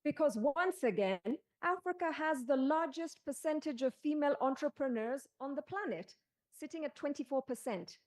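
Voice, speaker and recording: Kenyan woman on a panel, bit high voice, measured and emphatic. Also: expressive, darkish tone. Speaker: panelist and special advisor. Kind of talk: formal panel speech.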